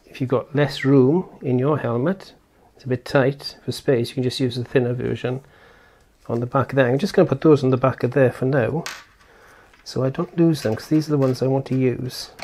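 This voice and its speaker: A man's voice: medium pitch, moderate pace, darkish tone. A man giving casual hands-on product commentary as a reviewer, explaining step by step and thinking aloud informally. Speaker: tech reviewer and presenter.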